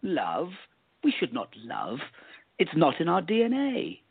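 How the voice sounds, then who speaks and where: Guy doing a creaky arrogant villain, clipped n sneering, swoops all over, phone-like audio. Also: on the high side, wildly swooping pitch, a little slow, dry recording, full character voice. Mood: defiant. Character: indignant, arrogant male time-meddling villain. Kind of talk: radio play acting. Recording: dry, thin phone-like sound.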